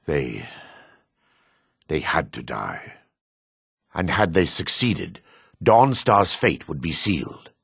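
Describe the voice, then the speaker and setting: An actor playing an older dark elf priest in a video game, grave, devout and weary, with a gravelly, husky voice and solemn, formal phrasing. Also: male voice, very deep voice, big pitch swings, slow pace, long pauses, no echo, clean studio sound, heavily acted. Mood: sorrowful. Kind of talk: video game character acting.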